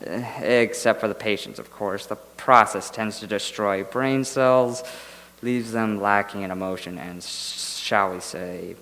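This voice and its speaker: A man's voice: on the low side, unhurried, a bit bright. A man playing a sinister mad doctor in a live audio drama, smugly genial, patronizing and casually menacing. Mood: smug, explaining. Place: indoor hall, live stage performance. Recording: echoey hall, noisy live stage recording.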